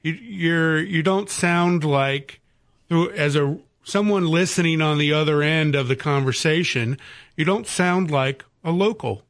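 A man hosting an interview, asking halting, hesitant questions. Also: fairly high pitch, a bit bright, dry recording, studio quality. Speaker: interview host. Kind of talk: conversational interview.

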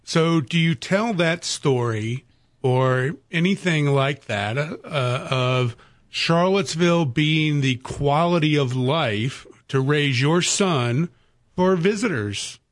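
A man hosting an interview, asking halting, hesitant questions. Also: fairly high pitch, expressive, no room sound, studio quality. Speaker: interview host. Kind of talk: conversational interview.

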